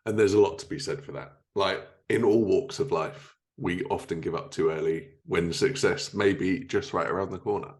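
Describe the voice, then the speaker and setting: A man with a distinctly low-pitched voice hosts an interview podcast. He talks informally, in a casual conversational style. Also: normal speed, hardly any pauses, a little mellow. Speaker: podcast host.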